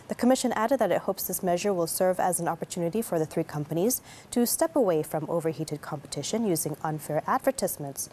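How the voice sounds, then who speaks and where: A woman's voice: fairly low pitch, dark tone, a little hiss or hum. A woman reading scripted news as an anchor.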